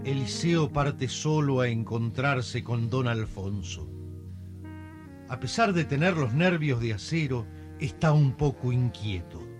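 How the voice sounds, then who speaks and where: An Argentine man narrating a radio serial in a theatrical, measured manner. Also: mid-range voice, lively intonation. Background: music.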